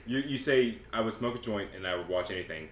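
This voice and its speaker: A man in his twenties bantering casually as a podcast host, excitable, sweary and often stammering over repeated words. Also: on the low side, fast, over a noisy crowd. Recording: echoey room, clear background noise.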